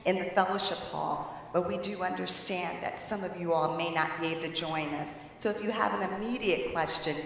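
A woman's voice: on the low side, brisk, gravelly, hardly any pauses, fairly bright tone. A woman hosting an event, formally addressing an assembly. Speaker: event host. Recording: very echoey hall with faint noise.

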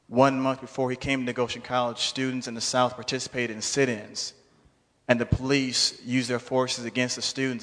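A man's voice: mid-range voice, a bit bright. A man giving a public address to an audience in a preacherly manner. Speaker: speaker introducing a program. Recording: long hall reverb.

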